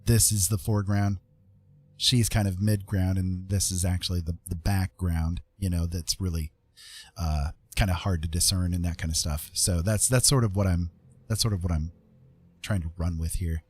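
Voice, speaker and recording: Male art streamer, deep husky voice, casual rambling delivery, hedges and thinks aloud. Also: steady tone, moderate pace, darkish tone. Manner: laughs often. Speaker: livestream host, digital artist. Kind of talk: casual chat while making art.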